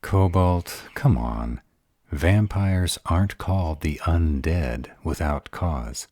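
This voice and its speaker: A man playing an arrogant, protective vampire lover in a roleplay audio drama, husky and deliberate. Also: expressive, mellow, no room sound, clean studio sound, heavily acted. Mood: haughty, irritated. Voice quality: husky, very deep voice. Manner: haughty, deliberate delivery.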